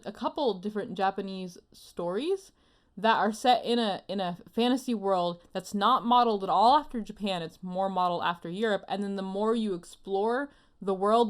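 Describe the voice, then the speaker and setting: Musing woman in casual chat. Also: mid-range voice. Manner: musing, tentative, heavy use of "like". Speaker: panelist on an anime discussion show.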